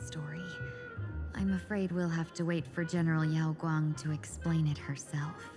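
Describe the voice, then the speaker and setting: A woman's voice: low-pitched, little pitch movement, normal speed, over music, natural voice. A woman playing a matter-of-fact aide in a video game, polite and explanatory in manner. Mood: mildly resigned.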